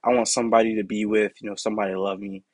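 A young man with a deep, raspy voice hosting his own podcast, talking in a candid, rambling way with lots of "you know" and "like". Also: flat delivery, racing, no room sound, clean studio sound. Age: young adult. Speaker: podcast host. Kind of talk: casual solo podcast monologue.

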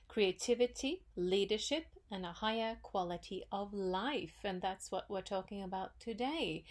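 A woman with a Norwegian accent hosts a solo podcast into a microphone. She speaks slowly at a mid pitch, musing over her ideas with frequent pauses and small fillers. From Norway. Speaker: podcast host. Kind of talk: solo reflective podcast talk.

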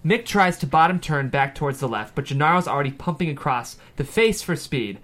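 Animated, higher-voiced man reading stage directions. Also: brisk. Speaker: screenplay narrator at a table read. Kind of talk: scripted narration, reading stage directions aloud.

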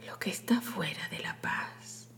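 A woman leading a guided meditation in a soothing, hushed, breathy delivery with long pauses. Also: whispered. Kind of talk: guided meditation, scripted narration.

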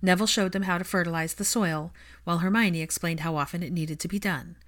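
A woman narrates fiction from a script. Her voice sits a little low for a woman, in the style of an audiobook reading. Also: fast.

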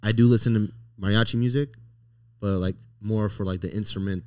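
A young American man with a fairly low voice, chatting casually as a podcast host. His speech is informal and slangy, and the sound is close and dry. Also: steady tone, brisk, warm tone, smooth, no echo. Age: young adult. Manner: informal, slangy talk peppered with "bro" and "like". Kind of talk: casual conversation.

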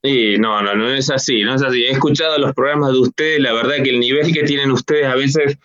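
A man's voice: medium pitch, steady tone, brisk, a bit bright, no echo, highs cut off. A man in his forties with an Argentine Spanish accent, telling his story as a guest in a casual interview, rambling with restarts and fillers.

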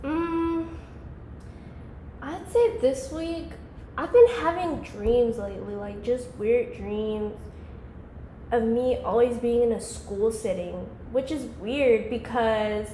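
A woman's voice: on the high side, slow pace, mellow, roomy sound, slight background noise, poor audio. A woman in her twenties, a podcast guest, talking in a laid-back, rambling way with lots of "like". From Georgia, US. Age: 20s. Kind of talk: casual podcast chat.

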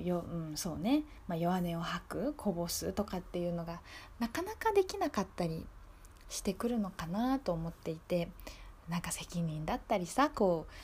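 A woman hosting a radio show, talking casually and reflectively in a gentle, polite, thoughtful way. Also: medium pitch, slightly rough. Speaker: radio show host and singer-songwriter.

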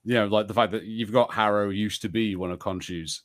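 A man's voice: on the low side, steady tone, fairly quick. A man co-hosting a podcast, chatting casually about TV shows in a rambling, conversational way with plenty of "like". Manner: rambling and conversational, heavy use of "like". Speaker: podcast co-host.

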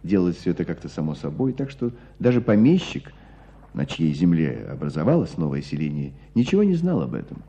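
A man acting in a radio play as a knowing hunter who tells a story with deliberate emphasis. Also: low-pitched, sing-song, warm tone, husky, exaggerated voice. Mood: animated storytelling. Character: knowing male hunter recounting an old court case. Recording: dull, muffled radio play sound.

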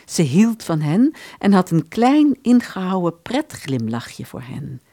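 Casting: female storyteller for a scripted radio play, low register, richly varied intonation. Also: takes it slowly, no room sound. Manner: expressive storytelling. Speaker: narrator. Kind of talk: scripted literary audio drama narration.

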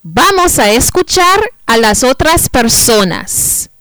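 A woman teaching a Spanish lesson to children, speaking slowly and repeating each point in Spanish and English. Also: high voice, sing-song, a bit bright, no echo. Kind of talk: bilingual classroom lesson.

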